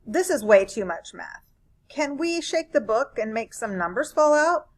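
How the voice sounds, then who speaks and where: A woman's voice: a little high, sing-song, unhurried, exaggerated voice. A woman reading a children's picture book aloud as a grumpy, bored, reluctant narrator who complains expressively. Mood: exasperated.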